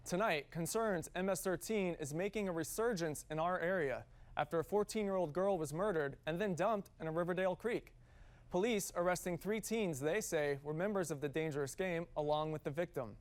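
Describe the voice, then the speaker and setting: A man's voice: a little high, a little fast. A man with an American accent reading scripted television news as an anchor.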